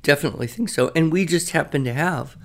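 Gravelly-voiced man co-hosting a podcast. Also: fairly high pitch, fast, fairly bright tone. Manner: storytelling, reflective. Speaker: podcast co-host. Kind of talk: casual podcast discussion.

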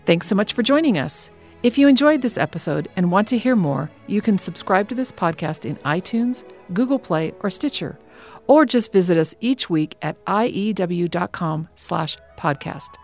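A woman's voice: fairly low pitch, animated, moderate pace, darkish tone, faint music behind. A middle-aged woman co-hosting an education podcast, affirming her partner's points, laughing easily and reading a friendly sign-off. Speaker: podcast co-host. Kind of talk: casual chat and scripted outro.

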